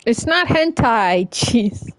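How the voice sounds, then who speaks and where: Slow, casual woman game streamer. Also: on the high side, expressive, no room sound. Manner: halting, rambling, informal. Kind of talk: casual live-stream chat while playing.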